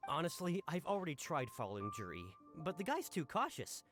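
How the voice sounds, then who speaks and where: A man's voice: fairly high pitch, huge pitch swings, fairly quick, fairly bright tone. A man voicing an eager, flustered male guard in a video game, earnest and apologetic in how he talks. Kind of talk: game dialogue. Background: game music.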